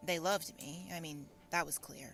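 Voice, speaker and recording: A woman's voice: low-pitched, moderate pace, close to an everyday voice. A woman playing a tough, guarded young fairy guard in an audio drama, with a wry, offhand, brusque manner. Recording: loud music over the voice. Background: loud musical score.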